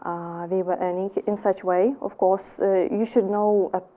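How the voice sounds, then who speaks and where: A woman from Lithuania with a Lithuanian accent, giving a personal testimony as an interview guest in halting English with many restarts. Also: mid-range voice, level tone, warm tone.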